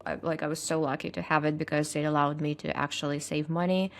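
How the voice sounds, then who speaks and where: A woman's voice: sits low, little pitch movement, fast talker, gravelly, a little hiss or hum. A young adult woman from Russia with a Russian accent, chatting casually as a podcast guest, hesitant with lots of fillers and frequent laughs. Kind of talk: casual interview chat.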